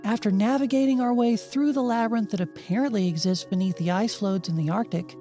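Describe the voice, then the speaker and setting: A woman narrates a scripted retrospective like an audiobook host. Her tone is soft-edged and mellow, at a middling pitch. She slips in dry, sarcastic asides, with music playing faintly beneath her. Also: brisk. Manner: wry, dryly sarcastic asides. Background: music under the voice.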